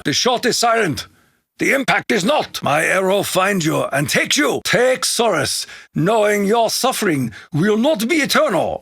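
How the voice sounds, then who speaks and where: A man's voice: fairly high pitch, vocal fry, fairly bright tone, husky, no echo, clean studio sound, heavily acted. A man voicing a solemn, honor-bound Japanese warrior in a video game, speaking with a Japanese accent in grave, formal declarations. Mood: fierce, triumphant. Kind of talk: video game character voice lines.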